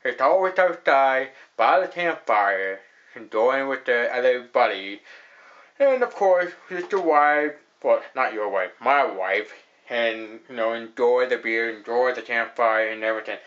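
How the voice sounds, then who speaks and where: A man's voice: mid-range voice, lively intonation, measured pace, fairly bright tone, lo-fi sound. A young man hosting a casual beer review at a table in a small room, rambling with lots of fillers and repeating "actually". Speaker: host of a beer review show.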